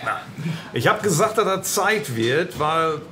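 An actor playing a hearty, blunt local politician with a blustery, colloquial manner in a crime radio drama. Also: male voice, on the high side, lively intonation, a little slow, bright, forceful tone, faint music behind. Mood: blunt, scornful.

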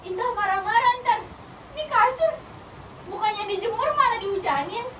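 High, giggly young woman hosting. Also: lively intonation. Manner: playful, giggly, quick banter. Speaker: host. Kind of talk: casual joking chat. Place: small room with foam panels, sitting on floor. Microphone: far camera. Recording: echoey room from far off, noisy.

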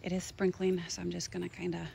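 A woman's voice: lowish voice, monotone, quick pace, vocal fry, mellow, a little hiss or hum. Chatty woman touring her garden outdoors. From Minnesota. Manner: enthusiastic, chatty, pointing things out. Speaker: gardening vlogger. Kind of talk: casual garden tour.

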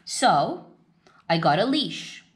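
A woman reading a children's picture book aloud in an expressive storytelling style. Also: lowish voice, slow, slight background noise. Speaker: storybook reader.

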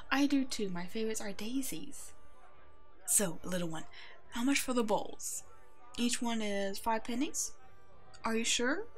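A woman playing a kind, protective werewolf in an ASMR roleplay drama, speaking gently and reassuringly as if to a child. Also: on the high side. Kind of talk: ASMR roleplay audio drama, one-sided dialogue.

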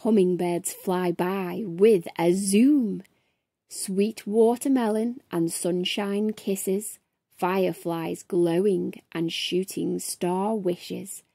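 A woman's voice: lowish voice, fairly even intonation, slow, creaky, dark tone, slightly rough, no room sound, clean studio sound. A woman in her thirties hosting a children's storytime, speaking expressively with a sing-song delivery straight to young listeners. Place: small furnished room at home, indoors.

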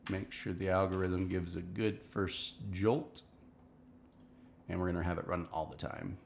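A man in his thirties hosting a casual screen-share marketing tutorial, talking step by step in an instructional way from a small home office. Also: sits low.

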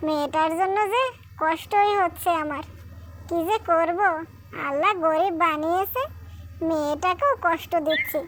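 A woman's voice: very high voice, moderate pace, a bit bright. A woman voicing a poor, meek housemaid and worried mother in a cartoon, plaintive and deferential. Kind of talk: cartoon dialogue. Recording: noisy track, chirping birds behind.

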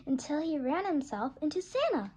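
A woman reading a children's picture book aloud, gentle and expressive, slowing down for the story and putting on small voices for the animals. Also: high-pitched. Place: indoors at a table, turning a picture book.

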